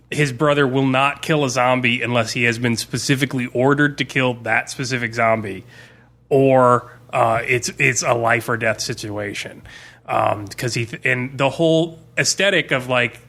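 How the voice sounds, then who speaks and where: A man's voice: mid-range voice, bright, forceful tone. A man chatting casually as a podcast co-host, rambling with slangy, emphatic enthusiasm. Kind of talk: casual podcast chat about zombie fiction and comics.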